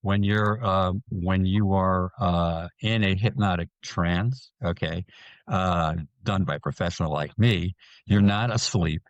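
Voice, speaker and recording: Deep-voiced man explaining calmly, dry sound. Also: no room sound, muffled recording. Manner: slow, repetitive, with many restarts and fillers. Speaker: hypnotherapist guest. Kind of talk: explanatory interview talk.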